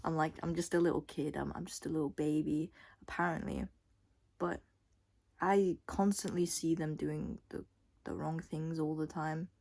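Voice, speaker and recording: A woman's voice: deep voice, fairly even intonation, normal speed, creaky, a little mellow. A young adult woman vlogger giving a casual, unscripted monologue in a rambling, blunt way with casual swearing. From Scotland and Thailand.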